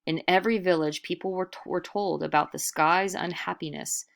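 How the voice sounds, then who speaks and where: Female storyteller, low register, for expressive picture-book narration with deliberate, dramatic emphasis. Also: creaky.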